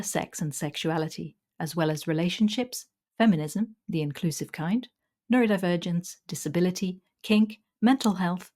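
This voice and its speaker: A woman's voice: lowish voice, mellow. A woman hosting a podcast, reading a scripted introduction and then interviewing her guest. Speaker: podcast host.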